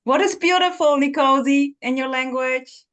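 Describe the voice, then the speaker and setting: A woman's voice: sits high, unhurried, fairly bright tone. A woman in a casual group chat who talks hesitantly and laughs easily.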